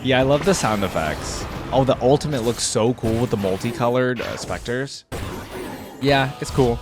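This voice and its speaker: A man's voice: mid-range voice, normal speed, music in the background. A man giving casual, off-the-cuff reaction commentary as a video host, thinking aloud in an informal, exclamatory way.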